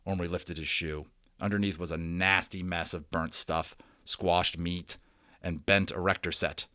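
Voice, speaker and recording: A man's voice: low voice, steady tone, unhurried, pushed and bright, dry recording, studio quality. A man reading a children's novel aloud as a dramatic narrator, theatrical, with informal asides to his listeners. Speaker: storybook reader.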